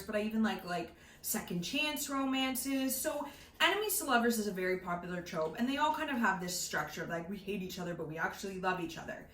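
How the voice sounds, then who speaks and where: A woman's voice: mid-range voice, a bit bright. A young woman chatting casually and enthusiastically about books as co-host, giggly and opinionated. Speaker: book podcast co-host. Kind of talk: casual book chat. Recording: roomy echo with faint background noise.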